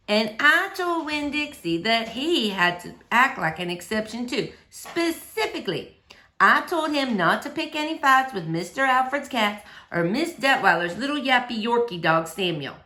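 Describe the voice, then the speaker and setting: A woman narrating a children's novel aloud in an expressive, animated storytelling manner for children. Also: medium pitch. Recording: roomy, echoey indoor sound.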